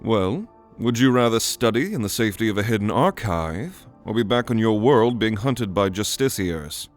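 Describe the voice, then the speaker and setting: A man's voice: on the low side, animated, normal speed, soft background music. A man voicing a weary magic teacher in an audio drama, lecturing with a dry, wry manner. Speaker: narrator and teacher figure. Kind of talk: ASMR audio drama roleplay.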